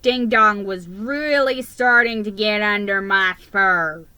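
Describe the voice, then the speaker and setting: A woman giving a theatrical, expressive reading of a children's Christmas story. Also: mid-range voice, takes it slowly, clean tone, dry recording. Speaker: storybook reader.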